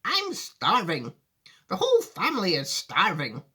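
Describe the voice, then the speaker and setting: Man acting cranky old storybook granny, indignant, dramatic, high-pitched, swinging intonation. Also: huge pitch swings, bright, forceful tone, slightly rough, full character voice. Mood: complaining. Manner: indignant, drawn-out exclaiming.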